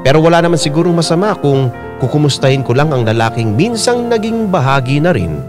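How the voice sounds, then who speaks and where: A man's voice: on the high side, lively intonation, brisk. A man narrating a dramatic first-person story as a radio storyteller. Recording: piano music behind the voice.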